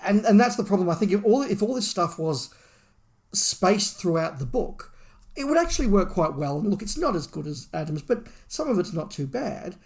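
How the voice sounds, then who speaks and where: A man's voice: high voice, big pitch swings, normal speed. Guy doing a podcast book review, chatty, emphatic, repeats himself to make the point. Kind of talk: discussion, casual review.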